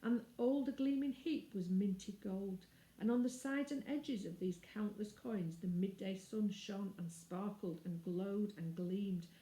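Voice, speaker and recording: Mellow-voiced woman reading a children's story. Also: mid-range voice. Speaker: storybook reader. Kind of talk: expressive children's story narration. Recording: roomy indoor echo.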